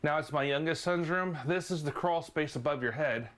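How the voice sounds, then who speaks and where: A man's voice: on the high side, normal speed, fairly bright tone, no room sound. A middle-aged man speaking casually as a homeowner being interviewed about his own experience.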